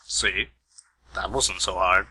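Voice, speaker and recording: A man's voice: sits low, fairly even intonation, takes it slowly, sharp tone, breathy edge, heavily acted, rough recording. A man playing an earnest, questioning investigator in an amateur radio mystery. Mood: pressing. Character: earnest, questioning male investigator, detective's partner. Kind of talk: amateur radio play acting.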